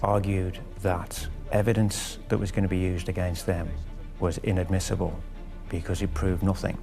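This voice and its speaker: A man with a low, gravelly voice gives a documentary interview. He explains court proceedings in his own words, with music beneath. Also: level tone, moderate pace. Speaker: expert interviewee.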